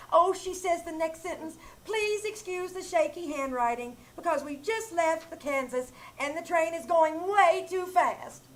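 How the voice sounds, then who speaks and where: A woman's voice: high voice, fairly bright tone. A woman playing a scatterbrained, cheerful wife in a live reenactment of an old-time radio comedy, rambling sweetly. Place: small theater stage.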